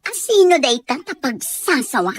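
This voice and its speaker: Fairly high female voice, actress as suspicious office worker, animated, confrontational, Ilocano Filipino accent, radio drama. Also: normal speed. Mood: suspicious, threatening.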